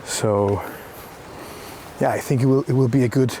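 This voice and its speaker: Venezuelan-accented man, halting speech. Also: mid-range voice, fairly even intonation, dark tone, a little hiss or hum. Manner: halting, searching for words, laughs often. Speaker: guest, co-worker. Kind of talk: casual walking chat. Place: outdoors on a coastal walk.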